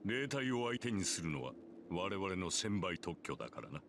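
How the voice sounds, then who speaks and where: A man playing a grim, composed priest in a Japanese visual novel, speaking in a measured, authoritative, matter-of-fact way. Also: sits low, big pitch swings, fairly bright tone, slight background noise, exaggerated voice. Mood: composed, instructive. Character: grim, composed adult male priest with cold pragmatism.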